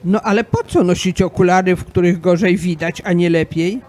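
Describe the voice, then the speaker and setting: A man playing a fussy, questioning god in an amateur radio play, with an animated, querying delivery. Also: high-pitched, normal speed, close to an everyday voice. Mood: puzzled.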